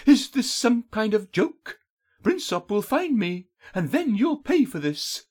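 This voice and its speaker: Man voicing a high-pitched defiant young heroine. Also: big pitch swings, a little slow, a little mellow, full character voice. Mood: indignant. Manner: demanding, urgent, emphatic. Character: defiant young female dragon rider, captive. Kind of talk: audiobook character voice.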